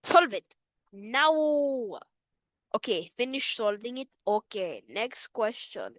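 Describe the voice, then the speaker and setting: A woman's voice: a little high, sing-song, takes it slowly, no room sound. A woman reading multiple-choice quiz questions aloud while putting on a playful, exaggerated Indian accent. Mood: teasing. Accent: put-on Indian.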